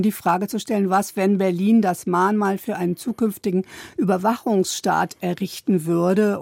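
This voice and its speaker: A woman's voice: mid-range voice, vocal fry, warm tone, dry recording. A woman working as a culture reporter, explaining things in a conversational radio report.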